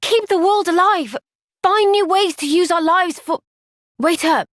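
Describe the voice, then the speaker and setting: A woman playing an earnest, gentle young female soldier in a video game cutscene, speaking with measured, sincere resolve. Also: very high-pitched, dry recording, studio quality. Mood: urgent, determined.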